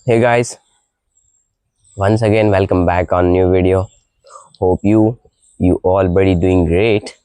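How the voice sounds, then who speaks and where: Young man, deep voice with a cold. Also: fairly even intonation, warm tone, smooth, no room sound. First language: an Indian language. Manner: halting speech with many fillers and little laughs. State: has a cold, voice deeper than usual. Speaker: vlogger. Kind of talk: casual morning vlog, practicing English. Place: outdoor balcony with birdsong in the early morning. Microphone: mic with noise cancellation.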